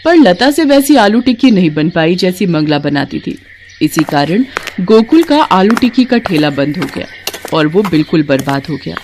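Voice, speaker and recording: A woman's voice: fairly low pitch, very animated, moderate pace, darkish tone, a little hiss or hum. Woman narrating a scripted story.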